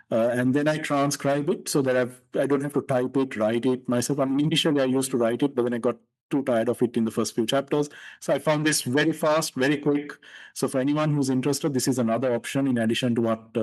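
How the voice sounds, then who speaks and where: A man hosting a podcast, explaining his writing process in a relaxed way with plenty of "uh" and "basically". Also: mid-range voice, poor audio. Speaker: podcast host. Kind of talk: casual interview chat.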